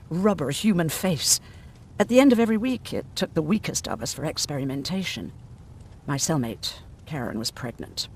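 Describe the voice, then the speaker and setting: An actor playing a blunt, sardonic, weary survivor of a machine war in a video game. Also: male voice, fairly high pitch, darkish tone, slight background noise.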